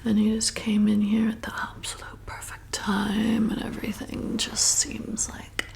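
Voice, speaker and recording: Woman playing a slow, whispering, hypnotic vampire seductress. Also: mid-range voice, completely flat delivery, gravelly. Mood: hungry, seductive. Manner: soothing, coaxing, slow and repetitive. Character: flirty, hypnotic, hungry female vampire bartender. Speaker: ASMR roleplay performer. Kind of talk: whispered ASMR roleplay.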